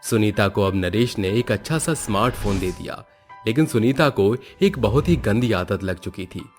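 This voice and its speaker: A low-voiced man delivers scripted storytelling narration. Soft music plays behind his voice throughout. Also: lively intonation, moderate pace. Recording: background music under the voice.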